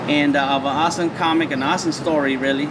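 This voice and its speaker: A man's voice: on the high side, fairly even intonation, fast talker. A man hosting a casual live-stream chat, speaking in stop-start phrases with short affirmations. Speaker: podcast host and interviewer. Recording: distant mic, loud ambient noise.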